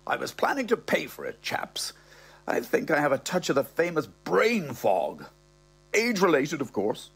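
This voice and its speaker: A posh, elderly father as played by a male narrator, pompous and easily flustered. The voice sits high and swoops theatrically, with old-fashioned bluster suited to a comic audiobook. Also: wildly swooping pitch, normal speed, creaky, fairly bright tone, breathy edge, heavily acted. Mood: sheepish, blustering.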